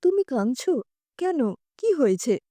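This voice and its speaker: A woman's voice: high voice, very animated, unhurried, warm tone, dry recording, clean studio sound, heavily acted. A woman voicing an anxious, tender wife who questions her husband insistently in a dramatised audio story. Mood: worried.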